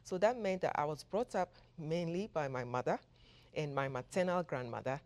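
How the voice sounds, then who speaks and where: A woman from Ghana, a professor, giving measured, thoughtful answers as an interview guest. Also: sits low, darkish tone. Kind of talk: interview conversation.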